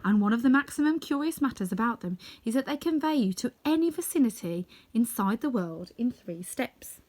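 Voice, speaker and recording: Regal, kindly good witch for a storybook, high voice, woman performing. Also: animated, a little fast, a little mellow. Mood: instructive. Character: kind, regal good witch, calm and assured. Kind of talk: children's storybook read-aloud.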